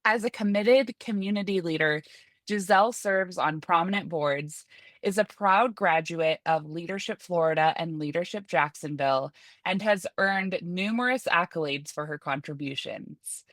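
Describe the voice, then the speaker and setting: A woman's voice: on the low side, normal speed, a bit bright, dry recording. A woman hosting a podcast, who introduces her guest and asks questions with enthusiasm. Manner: enthusiastic, effusive. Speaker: podcast host. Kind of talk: podcast interview.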